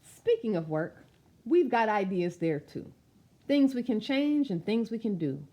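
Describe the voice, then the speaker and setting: A woman's voice: mid-range voice, very animated, a little slow, highs cut off. A woman reading a children's picture book aloud, speaking expressively and directly to young listeners with chatty asides. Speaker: storytime reader.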